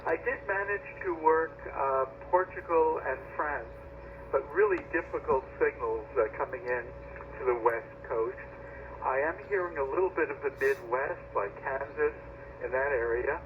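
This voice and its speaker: A man's voice: mid-range voice, moderate pace. American man over a noisy, thin radio signal. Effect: radio transmission. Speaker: amateur radio operator. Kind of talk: casual ham radio contact. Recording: noisy, narrow-band shortwave radio signal.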